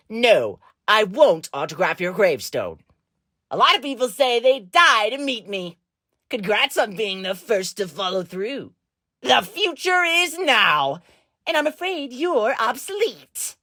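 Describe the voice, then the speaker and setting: A woman's voice: a little high, sing-song, slow pace, a bit bright, no room sound, clean studio sound, exaggerated voice. A woman voicing a vain, smug celebrity animatronic in a video game, haughty and dismissive. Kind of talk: video game character voice lines.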